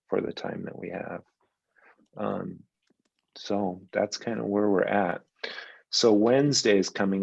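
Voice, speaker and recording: A man giving a school principal's report at a parents' meeting, relaxed and rambling with fillers. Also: deep voice, vocal fry, husky, dry recording, highs cut off. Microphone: video call.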